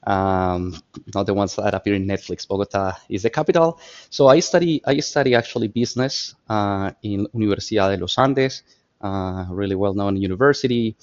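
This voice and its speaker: A man in his thirties from Colombia with a Colombian Spanish accent, a podcast guest telling stories in lively, repetitive phrasing. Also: fairly low pitch, normal speed, highs cut off. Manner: animated storyteller, repeats words while searching for phrasing. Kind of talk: casual interview talk.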